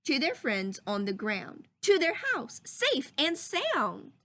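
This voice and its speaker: Female read-aloud teacher, high voice, very animated intonation, stretches key words and checks in with young listeners. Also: takes it slowly, no room sound. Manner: animated storybook delivery, drawing out words, asking questions. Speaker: teacher reading a picture book aloud to children. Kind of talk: expressive children's read-aloud with questions to listeners.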